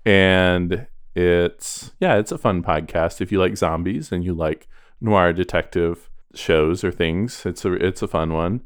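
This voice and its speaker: A man's voice: sits low, measured pace, smooth, dry recording, studio quality. A man co-hosting a podcast in casual chat, talking in a chatty, self-deprecating way. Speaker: podcast co-host.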